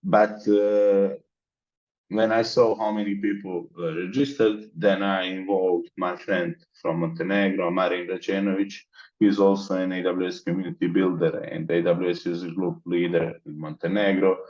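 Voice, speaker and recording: A man from the Balkans speaks in a very deep voice, laying out his plans methodically as an interview guest. His audio is dull and lo-fi. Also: darkish tone. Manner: methodical, explains in long lists. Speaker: interview guest, mentorship organizer. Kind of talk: conversational interview.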